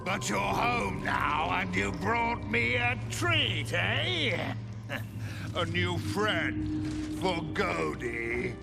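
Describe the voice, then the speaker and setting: An actor playing a cruel undead jailer in a video game, sickly-sweet and servile, with drawn-out taunting speech that refers to himself in the third person. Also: male voice, sits high, sing-song, gravelly, loud music behind the voice, exaggerated voice. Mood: gloating, taunting. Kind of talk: cinematic video game voice acting.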